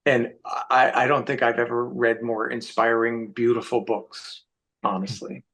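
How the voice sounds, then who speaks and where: A man's voice: medium pitch, flat delivery, a little slow, a bit bright. A man speaking as an interview guest, giving reflective, conversational answers.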